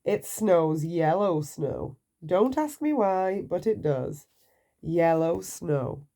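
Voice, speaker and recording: A woman storyteller reads aloud for children at an unhurried pace. Her voice is mellow and a touch low, with animated, playful intonation. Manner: playful, asks the listener questions. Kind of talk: read-aloud bedtime story narration.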